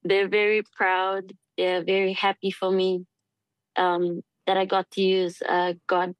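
A young woman from Fiji with a Fijian accent, a singer answering interview questions shyly and haltingly. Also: medium pitch, monotone, clean tone, no room sound. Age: young adult. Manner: shy, laughs often, halting. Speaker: singer, guest. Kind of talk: casual interview.

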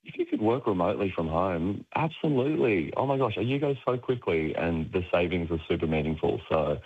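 Brief: young hoarse Australian guy, lively up-and-down delivery, lower voice, muffled lo-fi line. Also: a little fast, slight background noise. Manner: rambling, laughs often, many fillers. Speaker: radio guest. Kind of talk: casual chat.

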